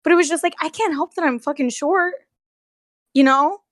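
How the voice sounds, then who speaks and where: Young woman, high voice, gossipy n sweary, lots of "like", dry sound. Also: moderate pace, fairly bright tone, no echo. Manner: sweary, slangy, heavy use of "like". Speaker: former theme park character performer telling stories. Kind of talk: casual gossipy storytelling.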